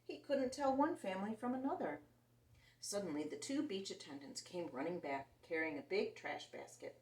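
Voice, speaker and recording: A woman reads a picture book to little listeners in a lively, expressive voice that rises and falls a lot. The sound is roomy and she sits some distance from the mic. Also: medium pitch, normal speed. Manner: animated and expressive, voicing characters. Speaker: children's story reader. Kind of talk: reading a picture book aloud to children.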